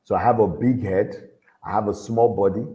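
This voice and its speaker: A man with a deep voice speaks in a low register, giving a motivational talk to young people. His tone is mellow and warm. He exhorts his listeners earnestly and circles back to repeat his key points. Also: steady tone, moderate pace. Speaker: motivational speaker at a youth event.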